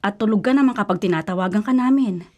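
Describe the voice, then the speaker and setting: A woman's voice: medium pitch, quick pace, a little mellow, muffled recording, close to an everyday voice. A woman acting in a radio drama as a worried, nagging but loving middle-aged mother, scolding and fussy, with a Filipino accent. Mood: grumbling.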